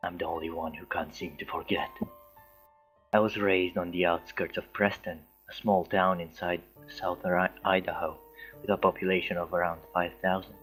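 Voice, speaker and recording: Low-voiced man reading haltingly over music. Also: flat delivery, a bit bright, low-quality recording. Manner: halting, stumbling over words. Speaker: story reader. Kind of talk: amateur read-aloud of a horror story with casual asides. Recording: music behind the voice. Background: background music.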